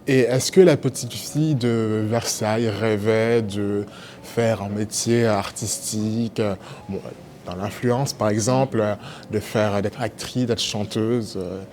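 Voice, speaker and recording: A man's voice: lowish voice, fairly even intonation, slow, a little mellow, slight background noise. Friendly male interviewer. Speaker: interview host. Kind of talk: casual interview.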